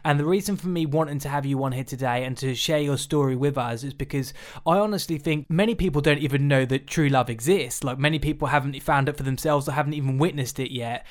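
A man's voice: mid-range voice, quick pace. A young man hosting a podcast, asking questions with enthusiasm and plenty of "you know" and "like". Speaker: podcast host. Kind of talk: casual interview.

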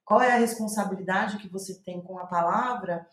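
A woman's voice: medium pitch, fairly quick. A Brazilian woman speaking reflectively as a playwright about her writing, thoughtful and searching for her words. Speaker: playwright, guest. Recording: roomy, echoing sound.

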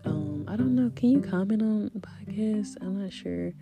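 A woman hosting a spiritual podcast in a casual, rambling way with a deep voice that is still recovering from an illness. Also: animated, normal speed, creaky, over music. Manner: rambling, faith-filled, soft laughs. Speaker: podcast host.

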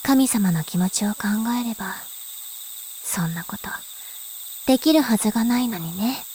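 An actress voicing a calm, mysterious older sister who speaks softly and philosophically in a voice drama. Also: female voice, mid-range voice, slight background noise, lightly acted. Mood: musing. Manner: slow, soft, musing. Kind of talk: voice drama acting. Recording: faint insect and cricket ambience.

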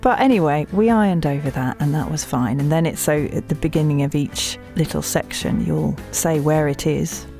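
A woman's voice: low-pitched, normal speed, gravelly, few pauses, dark tone, soft background music. A woman with a southern English accent chatting casually in an interview, in a jokey, agreeable way. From England. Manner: jokey, agreeable, laughs often. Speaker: voice actor and audiobook narrator.

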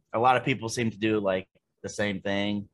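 A laid-back man with a lowish voice talks about his horror film, peppering sentences with "like" and casual swearing. The sound is dry and close, with no room echo. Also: steady tone, moderate pace. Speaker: guest, horror filmmaker. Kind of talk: casual conversational interview.

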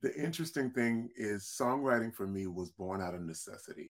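A deep-voiced man with a gravelly, husky edge tells stories from his career as a singer-songwriter. He talks loosely and conversationally, doubling back on his words and chuckling between stories. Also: expressive, a little fast. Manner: repeats words and restarts often, laughs between stories. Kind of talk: casual interview storytelling.

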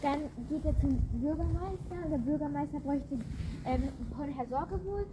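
High-pitched female podcast co-host. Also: moderate pace. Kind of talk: casual chat with a friend. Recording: noisy outdoor recording in rain.

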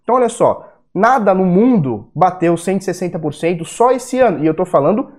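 A man's voice: high-pitched, very animated, a little fast. A young Brazilian man with a Brazilian Portuguese accent, hosting a casual market-analysis video, blunt and profane, with heated rants. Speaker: crypto video host.

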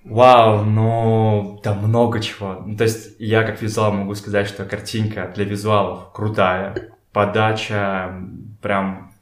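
A man talking casually as a podcast guest, telling things in a halting, slangy way and often repeating words. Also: fairly low pitch, level tone. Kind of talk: casual conversational storytelling. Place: in a room at a home. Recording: echoey room.

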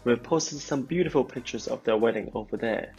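A man's voice: medium pitch, brisk, darkish tone. A man hosting a podcast, reading an upbeat, promotional intro. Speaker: podcast host. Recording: muffled with faint music behind.

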